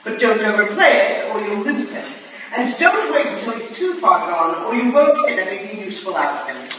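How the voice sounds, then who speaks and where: A woman's voice: medium pitch, expressive, normal speed, close to an everyday voice. A woman playing a stern, exacting Victorian aunt in a staged play reading, curt, dry and commanding. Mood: dry, instructing. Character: stern, exacting Victorian aunt who schemes covert operations. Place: live theatre reading in a reverberant room. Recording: distant, very echoey hall, faint noise.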